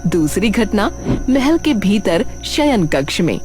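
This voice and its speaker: A woman's voice: mid-range voice, sing-song, slow. A woman narrating a scripted folk tale as a storyteller. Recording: music behind the voice.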